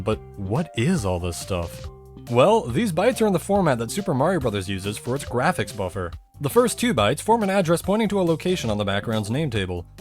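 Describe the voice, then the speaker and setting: Man narrating a technical explainer, animated intonation. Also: medium pitch. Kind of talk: scripted explainer narration with casual asides.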